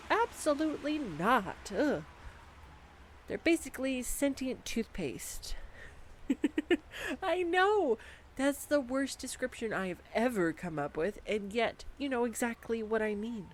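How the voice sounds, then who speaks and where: A woman's voice: a little high, a little hiss or hum. A young woman performing a scripted girlfriend roleplay monologue, chatty and giggly, talking to the listener. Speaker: voice actor playing a girlfriend. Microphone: studio condenser mic.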